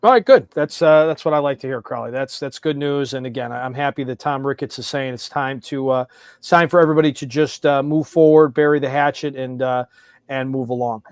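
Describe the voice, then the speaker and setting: A man hosting a sports podcast in a conversational, animated way, repeating phrases for emphasis. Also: mid-range voice, fairly quick, clean tone, no echo, clean studio sound.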